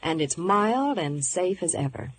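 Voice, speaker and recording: A woman's voice: fairly low pitch, very animated, measured pace. A woman delivering scripted movie news and advertising in 1950s radio style. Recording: noisy vintage radio broadcast.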